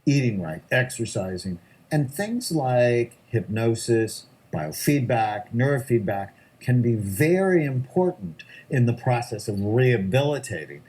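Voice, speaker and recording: A man's voice: mid-range voice, very animated, measured pace, a little mellow, husky. A middle-aged man, a psychiatrist, answering interview questions in an emphatic way, often stressing his points in short lists. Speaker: psychiatrist guest.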